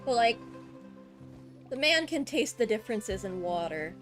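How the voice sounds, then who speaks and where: High, lively, slow-paced woman streamer. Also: soft background music. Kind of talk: casual gameplay commentary.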